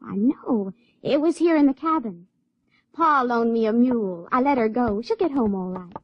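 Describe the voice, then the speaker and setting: High-voiced, naive backwoods girl. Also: animated, normal speed, dry recording. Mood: matter-of-fact. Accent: rural Southern American. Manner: folksy, guileless. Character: naive, wilful backwoods girl, plain-spoken. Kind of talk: radio drama acting. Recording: dull, dry vintage radio recording.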